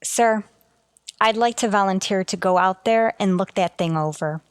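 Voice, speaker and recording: A woman's voice: mid-range voice, normal speed, smooth, no room sound, clean studio sound, lightly acted. A woman playing an eager, brave and deferential spaceship officer in a sci-fi radio drama, reporting in a clipped, respectful military way. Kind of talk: golden age sci-fi radio drama acting.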